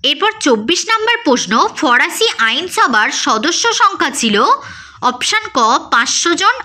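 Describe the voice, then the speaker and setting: A woman's voice: high-pitched, very animated, normal speed, pushed and bright. A woman teacher reading out quiz questions and options in a methodical, scripted lesson style with a sing-song listing intonation. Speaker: teacher presenting exam questions.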